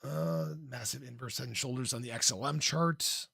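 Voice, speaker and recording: A man with a gravelly, slightly hoarse voice at a middling pitch talks casually as a livestream host. He is informal and playful, often clearing his throat. The sound is dry and close, with no room to it. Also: normal speed, sharp tone, no echo. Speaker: livestream host, crypto commentator. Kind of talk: casual livestream chat, reading and answering viewer comments.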